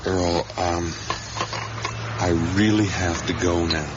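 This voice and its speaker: A man's voice: sits low, fairly even intonation, slow. An actor playing a patient, middle-aged father in a naturalistic radio drama, reasoning in a placating way. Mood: reasonable. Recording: dull 1980s radio, loud noise behind.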